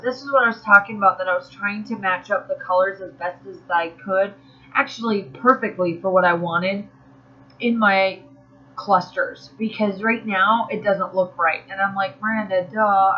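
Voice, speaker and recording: A woman's voice: mid-range voice, pushed and bright, dull audio. Chatty woman, a bit nasal from allergies. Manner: chatty, rambling, with fillers and self-corrections. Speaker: craft channel host. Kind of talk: casual voice-over narrating a crafting process video.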